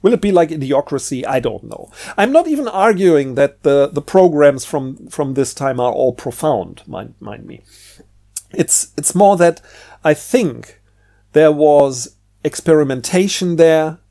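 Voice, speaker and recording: A man's voice: a little high, expressive, a little slow, vocal fry, warm tone. A middle-aged man talking casually and unscripted about books, hesitant and rambling, often repeating words as he thinks aloud. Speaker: book reviewer.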